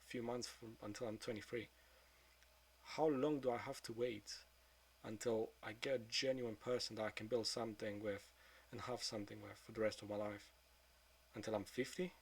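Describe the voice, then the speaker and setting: A man of twenty-two speaking in a frank, subdued confessional monologue, swearing often and trailing off into quieter mutters. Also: fairly low pitch, fairly even intonation, moderate pace, husky. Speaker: vlogger.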